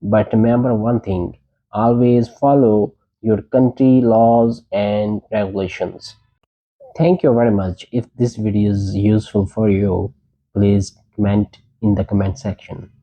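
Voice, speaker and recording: A man's voice: lowish voice, fairly even intonation, unhurried, muffled recording. A man presenting a tech tutorial, giving short, plain step-by-step instructions.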